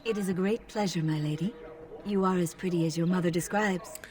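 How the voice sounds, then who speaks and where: A woman's voice: fairly low pitch, a little fast, darkish tone, natural voice. A woman playing a demure lady-in-waiting in a fantasy game, speaking politely and formally with deference.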